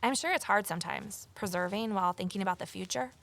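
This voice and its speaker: A woman's voice: mid-range voice, quick pace, creaky, a bit bright. An actress playing a curious, watchful amateur investigator, telling her mystery in the first person and talking with others. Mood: friendly, inquisitive. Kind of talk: audio drama narration and dialogue.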